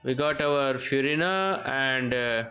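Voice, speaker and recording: Bright-voiced man streaming a video game. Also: medium pitch, slow pace, dull audio. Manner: repeats and rephrases phrases as he thinks aloud. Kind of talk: casual live gameplay commentary. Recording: game music behind the voice. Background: video game music.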